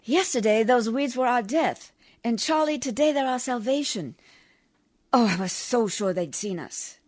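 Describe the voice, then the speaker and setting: Woman playing an animated, proper, determined lady adventurer. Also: a little high, normal speed, lightly acted. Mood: anxious. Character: sensible, determined, adoring lady adventurer aboard a riverboat. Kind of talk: old-time radio drama acting.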